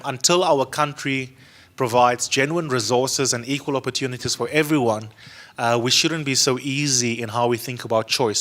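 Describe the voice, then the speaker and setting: A man's voice: medium pitch, fairly bright tone. A man in his thirties with a South African accent, whose first language is Afrikaans, speaking earnestly on a panel to a young audience in an auditorium, holding a handheld mic and repeating words for stress. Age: 30s. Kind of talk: speech to a youth audience. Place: museum auditorium with a seated audience.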